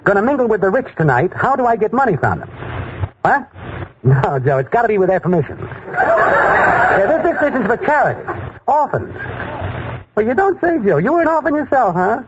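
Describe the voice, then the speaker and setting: A man's voice: high voice, sing-song, moderate pace, pauses often. An actor playing a streetwise, wisecracking male schemer in a 1940s radio comedy, heard on a noisy, muffled old broadcast. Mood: scheming. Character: slangy fast-talking male schemer.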